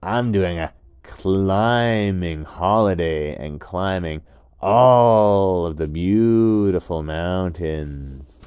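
A man's voice: low voice, extremely slow, darkish tone, clean tone, no echo, clean studio sound. A man voicing a friendly little gecko in a bedtime story, with an easygoing surfer-dude way of talking. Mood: breezy. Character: laid-back, friendly little green gecko on vacation.

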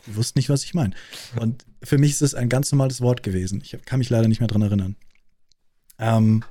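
Male interviewer, casual and inquisitive, warm dark timbre, dead-dry sound. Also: mid-range voice, dry recording. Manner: curious, chatty questioning. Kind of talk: casual conversation.

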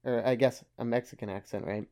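A man's voice: mid-range voice, steady tone, brisk, a crowd in the background. Rambling young-sounding podcast guy. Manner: rambling, restarts often, swears casually. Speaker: podcast co-host. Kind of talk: casual chat.

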